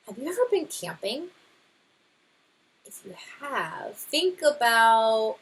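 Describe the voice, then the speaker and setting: A woman narrating a children's read-aloud, talking directly to young listeners with expressive questions and pauses. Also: fairly high pitch, rough recording.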